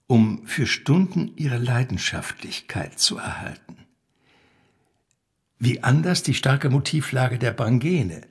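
A man reading literary prose as a scripted narrator, in a measured, essayistic manner. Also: medium pitch, slightly rough.

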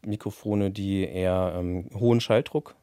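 A man's voice: deep voice, fairly even intonation, unhurried, mellow, clear, resonant tone, dry recording, clean studio sound. A man explaining technical things casually as a sound engineer, in a colloquial way with tag questions.

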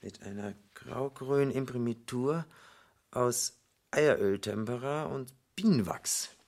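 An actor playing a suave, courteous devil in a German radio drama, speaking with polite, ceremonious smoothness. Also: male voice, medium pitch, slow, a little mellow. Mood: admiring, self-assured. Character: suave, courteous male devil posing as a foreign gentleman.

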